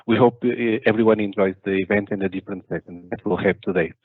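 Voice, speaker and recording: A man's voice: deep voice, steady tone, husky, no room sound. Portuguese guy on a webcam talk, lots of uhs, dull dry sound. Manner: halting, many filler uhs and restarts. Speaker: conference speaker. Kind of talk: online conference talk. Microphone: video call webcam.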